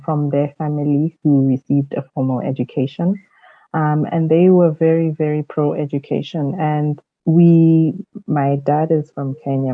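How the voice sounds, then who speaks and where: A woman's voice: sits low, no echo, phone-quality sound. A woman from South Africa answering conversationally as an interview guest on a podcast. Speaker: podcast guest, leadership mentor.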